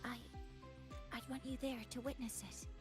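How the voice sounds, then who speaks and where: A devoted young researcher from a video game, acted by a woman, high-voiced and earnest. She speaks gently and formally, with hesitant pauses. Music is clearly heard behind her. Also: flat delivery, natural voice. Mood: earnest, tender. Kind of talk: dramatic game voice acting.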